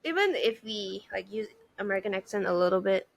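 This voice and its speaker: A woman's voice: mid-range voice, lively intonation, a little fast, vocal fry, no room sound. Teasing Malaysian woman, dry close sound. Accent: Malaysian English. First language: Cantonese. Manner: chatty, teasing, lots of "like". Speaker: podcast co-host. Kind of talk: casual chat.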